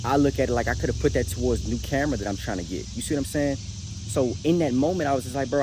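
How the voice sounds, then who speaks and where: An American man in his late twenties giving a casual motivational talk straight to the audience, emphatic and slangy, repeating words and phrases for weight. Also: mid-range voice. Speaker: motivational vlogger. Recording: noisy recording with clear background noise.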